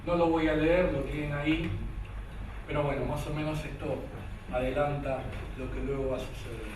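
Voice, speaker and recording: A man's voice: a little high, steady tone, normal speed. Argentine male academic, hesitant lecturer, distant mic with faint background noise. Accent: Argentine Spanish. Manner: hesitant, many "eh" fillers and restarts. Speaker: conference lecturer. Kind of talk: academic lecture with a read-aloud poem. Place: lecture hall. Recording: echoey hall from far off, faint noise.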